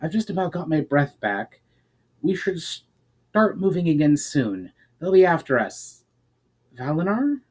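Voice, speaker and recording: A man's voice: fairly high pitch, big pitch swings, a little slow, darkish tone, voice pushed up. A man voicing a frank, resolute noblewoman fighter in a fantasy story.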